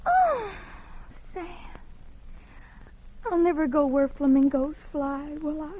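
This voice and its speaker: An American actress playing a prim, excitable secretary smitten with her detective boss, fussy and emotional, in 1940s radio drama style. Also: sits high, takes it slowly, heavily acted. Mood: tearful, flustered. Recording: muffled 1940s radio recording.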